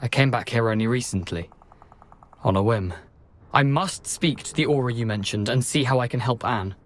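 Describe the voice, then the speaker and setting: A man playing a jaunty, wry gentleman ghost in a video game, with a flamboyant and courteous manner. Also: medium pitch, moderate pace, lightly acted. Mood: shocked, regretful.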